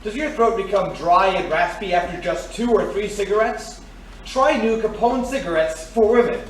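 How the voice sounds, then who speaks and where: Casting: male actor as earnest vintage radio pitchman, high-pitched and declamatory, drenched in echo. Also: moderate pace, close to an everyday voice. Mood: salesmanlike. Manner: declamatory, salesmanlike. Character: earnest old-time radio announcer pitching a sponsor. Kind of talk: 1940s radio announcer acting. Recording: very echoey, distant, faint noise.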